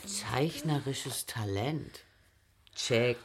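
A woman playing a loving, playful, unstable middle-aged mother in a German radio drama, with an unhurried, teasing, colloquial delivery. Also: extremely low pitch, slight background noise, exaggerated voice. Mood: teasing, breezy.

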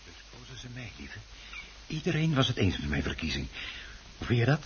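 An actor playing a meek, henpecked middle-aged husband in a Dutch radio play, hesitant, stammering and placating. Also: male voice, mid-range voice, sing-song, fairly quick, lightly acted. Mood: hopeful pride. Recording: muffled, hissy old radio recording.

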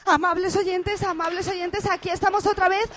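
An actress playing a horrified, breathless field reporter in a melodramatic radio drama parody of live news. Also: female voice, extremely high pitch, level tone, a bit bright, slight background noise. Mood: shaken. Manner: melodramatic, halting, exclaiming.

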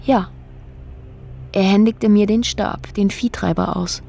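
An actress playing a woman who recounts a strange, disturbing ordeal in a reflective, halting, matter-of-fact radio drama monologue. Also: mid-range voice, steady tone, gravelly, warm tone. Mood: absorbed, subdued. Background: music under the voice.

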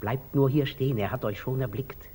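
A man plays a humble monastery brother in an old 1940s radio drama. The voice is husky and mid-pitched, deferential and plaintive. Beneath the meekness sits an artful simplicity, careful never to meddle. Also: moderate pace, dark tone, close to an everyday voice. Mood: evasive.

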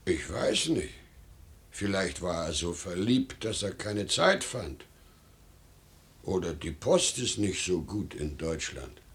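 An actor playing a simple, naive fishing-town man in a radio play, speaking deliberately and reassuringly. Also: low voice, sing-song, exaggerated voice. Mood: consoling, earnest.

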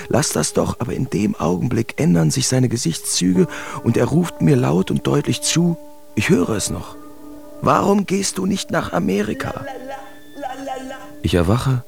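An actor playing a German artist who tells of his absurd nightmare in a wry, uneasy way, as theatrical first-person radio drama narration with a gravelly voice. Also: mid-range voice. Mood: agitated, indignant, then matter-of-fact. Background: music behind the voice.

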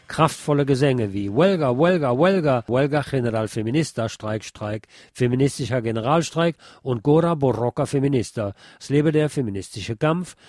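A man's voice: mid-range voice, expressive, moderate pace, few pauses, a little mellow, dry recording, studio quality. Man reading a news report steadily. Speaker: radio host reading a news article. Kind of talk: read-aloud news report.

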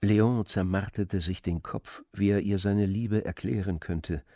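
A man narrating a literary audio drama in measured, polished storytelling phrases. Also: lowish voice, steady tone, dark tone, dry recording, clean studio sound.

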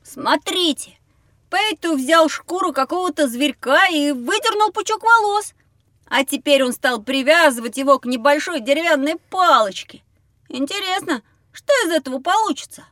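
A woman's voice: sits high, moderate pace, dry recording, studio quality. An actress voicing a curious, slightly cocky young boy in a scripted educational audio drama for children. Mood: confident, eager. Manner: expressive, inquisitive, a little cocky. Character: curious, cheeky young boy on a learning adventure.

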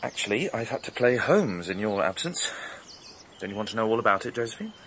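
An actor playing an earnest young British suitor and amateur detective with a questioning manner, in a radio drama. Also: male voice, on the low side, very animated, a little fast, fairly bright tone, a little hiss or hum. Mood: matter-of-fact. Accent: British English.

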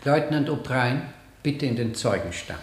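A man's voice: mid-range voice, expressive, unhurried, close to an everyday voice. An actor in a radio play voicing a male court clerk with an official, formulaic manner. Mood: official, formal. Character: formal male court clerk swearing in a witness. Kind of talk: 1970 German radio play. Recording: echoey room with clear background noise.

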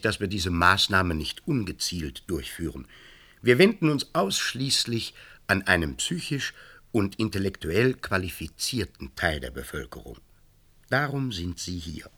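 A man's voice: on the low side, fairly bright tone, full character voice. A man playing a self-assured official of a dystopian society in a German science-fiction radio play, formal, officious and declamatory.

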